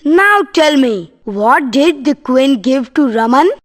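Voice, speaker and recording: A woman on a kids' show asks quiz questions and cheers the answers, careful and encouraging. She speaks high and slowly, spacing her words out. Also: expressive. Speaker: children's show co-presenter. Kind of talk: quizzing kids, teacher-like.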